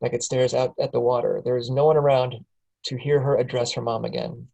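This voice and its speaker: A man reading the scene directions aloud in a steady, plain way at a film table read. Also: mid-range voice, fairly quick, gravelly, poor audio.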